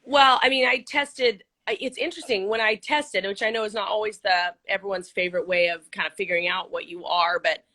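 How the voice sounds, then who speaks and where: A middle-aged woman from Central California, a little hoarse, talking casually and upbeat with lots of ums and restarts in an interview. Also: mid-range voice, steady tone, a little fast, few pauses, bright, forceful tone. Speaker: interview guest, nonprofit CEO. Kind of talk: casual video-call interview.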